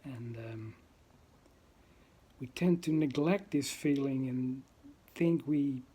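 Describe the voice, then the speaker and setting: A man's voice: mid-range voice, slow, warm tone, breathy edge. A man from the Netherlands with a Dutch accent, talking to camera in his first vlog, hesitant and full of ums and uhs as he searches for words. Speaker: vlogger. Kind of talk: unscripted talk to camera.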